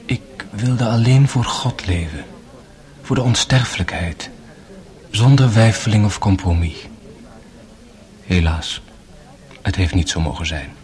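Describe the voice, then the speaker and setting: An actor playing an earnest, sorrowful young Russian former novice monk, narrating a radio drama in a solemn, measured way. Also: male voice, lowish voice, darkish tone, close to an everyday voice.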